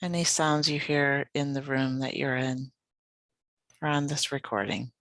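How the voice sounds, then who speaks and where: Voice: medium pitch, level tone, no echo. Soothing guided meditation voice, close and dry. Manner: gentle, soothing, unhurried instruction. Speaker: yoga nidra guide.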